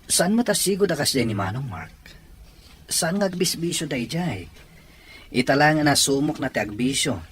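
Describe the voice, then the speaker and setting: Kindly Ilocano uncle, acted by a man, mid-pitched with lively swings, paternal, faint background noise. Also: normal speed, long pauses. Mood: concerned. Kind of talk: Ilocano audio drama acting.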